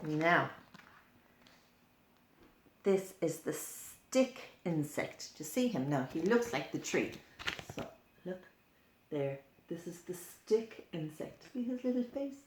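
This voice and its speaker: A woman's voice: lowish voice, sing-song, slow, long pauses, echoey room. A woman reading a picture book aloud to young children, often prompting them with simple questions. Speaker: storyteller for children.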